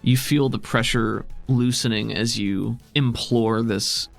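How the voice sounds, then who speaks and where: A man's voice: medium pitch, steady tone, slow, creaky, soft background music. A man narrating as game master of a tabletop roleplaying game, explaining rules in a casual, conversational way.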